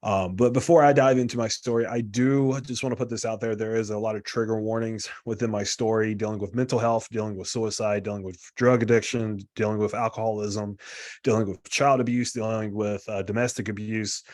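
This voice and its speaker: A man's voice: fairly low pitch, fairly even intonation, fairly quick, a little mellow. A man, a guest coach talking casually and rambling with lots of fillers over a video call. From South Carolina, US. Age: 30s. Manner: rambling, filler-heavy, chatty and candid. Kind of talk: casual talk on a live online group lesson.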